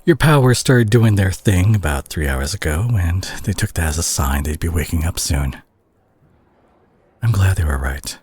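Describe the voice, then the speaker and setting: Weary male superhero played by a male voice actor for intimate audio drama: husky, low, expressive, concerned. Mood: tired, tender concern. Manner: hushed, halting, sighing, turning exasperated. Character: weary, worried male superhero at a teammate's hospital bedside. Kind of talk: intimate audio roleplay addressed to the listener.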